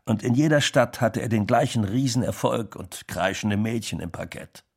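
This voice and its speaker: Radio play narrator: a reminiscing music manager, lowish register, factual delivery. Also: male voice, moderate pace, slightly rough. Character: male music manager reminiscing about a singer's rise.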